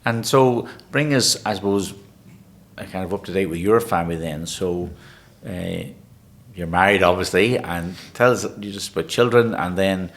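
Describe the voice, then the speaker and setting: Low-voiced man interviewing gently. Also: moderate pace. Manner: gentle, hesitant questioning.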